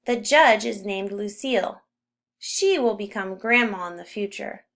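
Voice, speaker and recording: A woman narrating a children's story as a read-aloud, with expressive emphasis on key words. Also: mid-range voice, unhurried. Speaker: storybook reader.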